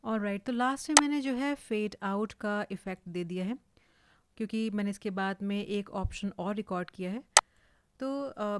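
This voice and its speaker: An Indian woman giving an informal, explanatory software tutorial, mixing English words into her speech. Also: mid-range voice, dry recording. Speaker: voice-over artist giving a tutorial. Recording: clearly hissy home recording.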